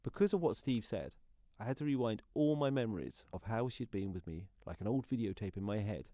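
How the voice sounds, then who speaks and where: A man's voice: fairly low pitch, expressive, brisk, warm tone, no echo, clean studio sound, natural voice. A man playing an earnest deaf man confiding the story of his love life in a radio play monologue.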